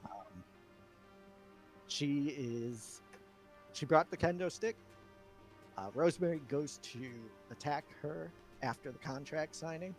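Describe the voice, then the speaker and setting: A man's voice: on the high side, slow pace, long pauses. A man chatting casually and off the cuff as he runs a wrestling booking game on a live stream, thinking aloud as he goes. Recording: background music under the voice. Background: chillhop video game music.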